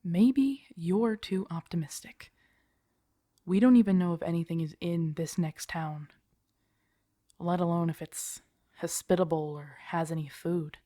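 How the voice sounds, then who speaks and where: A woman's voice: lowish voice, unhurried, warm tone, no echo, clean studio sound, close to an everyday voice. A woman acting in an audio roleplay as a grumpy, protective zombie apocalypse survivor, blunt and sardonic, talking intimately to her companion. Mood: grumpy, exasperated.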